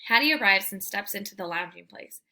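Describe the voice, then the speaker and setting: A woman reading a children's book aloud as a storyteller, with expressive, emphatic delivery. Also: medium pitch, a little fast. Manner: animated, emphatic, theatrical delivery.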